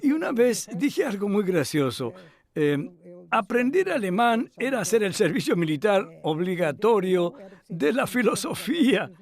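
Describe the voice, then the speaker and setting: An older man being interviewed, speaking in an unhurried, reflective way with hesitant fillers. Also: high-pitched, very animated.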